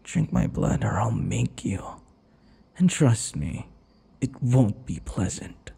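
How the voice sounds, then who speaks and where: A man playing a possessive, taunting vampire captor in an intimate ASMR roleplay, his breathy voice often dropping into whispers. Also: lowish voice, very animated, mellow, heavily acted. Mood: coaxing, threatening. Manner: taunting, slow, drawn-out endearments. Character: possessive, obsessive male vampire captor and would-be lover. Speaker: audio roleplay voice actor.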